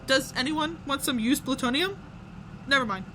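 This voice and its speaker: A woman's voice: high-pitched, moderate pace, music in the background, natural voice. A woman playing a rich, bored, sardonic fixer with a blasé, wry delivery in an audio drama. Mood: bored, smug.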